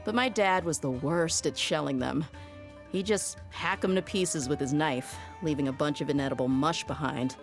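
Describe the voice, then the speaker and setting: A woman's voice: low-pitched, normal speed, music in the background. A woman voicing a tough, easygoing young desert mercenary in a video game, speaking in a casual, confident, wry and plain-spoken way. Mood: reflective, casual. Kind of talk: scripted game character voice lines.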